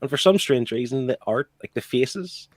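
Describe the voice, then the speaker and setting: A man's voice: medium pitch, expressive, moderate pace, dry recording. A man co-hosting a podcast, talking casually in a book club discussion. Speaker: podcast co-host.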